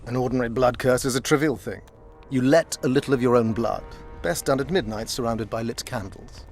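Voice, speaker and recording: Smug court mage, played by a man, medium pitch, pompous silky courtesy, fantasy game dialogue over faint music. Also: brisk, darkish tone, lightly acted. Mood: lecturing. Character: smug, condescending, scholarly male court sorcerer. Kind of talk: video game dialogue acting.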